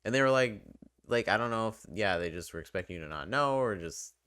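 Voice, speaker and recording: Male game reviewer, deep voice, conversational and wry, very dry recording. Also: expressive, brisk, fairly bright tone, no room sound.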